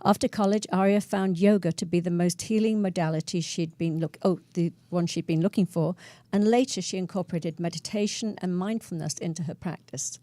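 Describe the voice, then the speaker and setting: Woman host, mellow dark tone, dry close sound, encouraging and affirming. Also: lowish voice, fairly even intonation, brisk, few pauses, no room sound. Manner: warm affirming interjections, reads guest intro. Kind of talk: talk show host chat.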